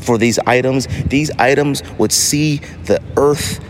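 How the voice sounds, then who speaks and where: A man's voice: medium pitch, slow pace. Man podcasting casually over background noise. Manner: emphatic, repetitive for emphasis. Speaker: podcast host. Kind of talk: casual solo podcast monologue.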